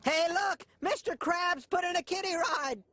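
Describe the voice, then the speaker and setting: An actor voicing an eager, excitable cartoon sea creature with exclamatory delivery in a noisy clip. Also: extremely high pitch, a little slow, full character voice. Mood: excited.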